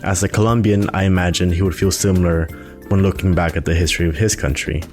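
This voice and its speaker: A man's voice: sits low, steady tone, quick pace, a little mellow, faint music behind. A young man from Nicaragua hosting a podcast, introducing it and putting questions to his guest. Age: young adult. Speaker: podcast host and interviewer.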